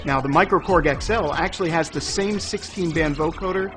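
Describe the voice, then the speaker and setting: Male product presenter, somewhat higher pitch, conversational explainer style, prominent music underneath. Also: a little fast. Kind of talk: semi-scripted product explanation. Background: synthesizer music.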